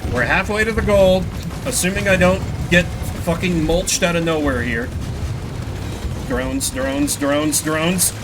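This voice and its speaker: A man streams a video game with a lively, fairly high voice that swings widely in pitch. He rambles to his chat, curses freely and pokes fun at himself. Game music plays clearly behind him. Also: unhurried, background noise. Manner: sweary, self-deprecating, rambling. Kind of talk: casual live gaming commentary with chat. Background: video game music and sound effects.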